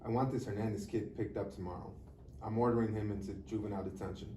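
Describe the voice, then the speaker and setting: A man's voice: fairly low pitch, fairly even intonation, fast, warm tone, lightly acted. A man playing a stern, impatient juvenile court judge with a curt, authoritative manner in a live table read. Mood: grim. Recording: echoey room, distant mic, faint noise.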